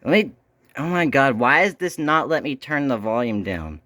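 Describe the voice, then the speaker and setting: A man with a bright, forward voice and medium pitch talks off the cuff as an amateur video host. His manner is informal, muttering with some exasperation as he works. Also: sing-song, moderate pace. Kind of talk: casual unscripted chat.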